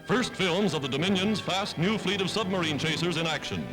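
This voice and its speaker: A man's voice: sits high, a little fast, low-quality recording. A man narrating a 1940s wartime newsreel in a dramatic, declamatory manner, on a vintage film soundtrack. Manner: declamatory, dramatic scripted delivery. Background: loud music.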